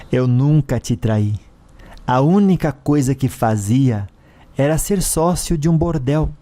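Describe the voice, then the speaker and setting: A man's voice: mid-range voice, mellow. A man with a Brazilian accent playing a cruel, mocking, imperious ruler of a hellish spirit realm in a narrated story. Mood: stern, self-justifying. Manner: menacing, imperious, taunting.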